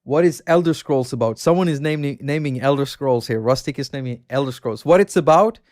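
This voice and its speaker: A man's voice: medium pitch, fairly quick, a little mellow. A man with a Swedish accent, hosting a casual livestream, rambling about games and reading out chat. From Sweden. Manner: chatty, rambling, reads out viewer chat and laughs often. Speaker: livestream host.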